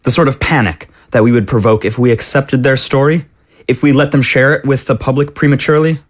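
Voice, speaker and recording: A man's voice: medium pitch, fairly even intonation, a little fast, close to an everyday voice. A man playing a cautious, dismissive mayor in an audio drama, speaking in a formal, wordy and officious way. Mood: pressing, skeptical. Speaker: voice actor. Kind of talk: scripted audio drama acting.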